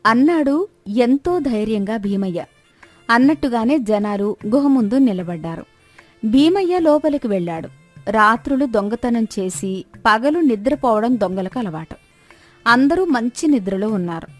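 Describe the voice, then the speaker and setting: A woman's voice: fairly high pitch, lively intonation, normal speed, dry recording, faint music behind. A woman reading a scripted moral story as a storyteller. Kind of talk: scripted story narration with acted dialogue.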